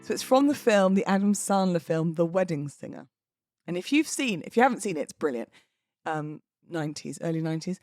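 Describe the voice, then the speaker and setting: A British woman hosting a podcast solo, talking in a casual, cheeky, expressive and informal way. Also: lowish voice, fairly quick, no echo, clean studio sound, soft background music. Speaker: podcast host.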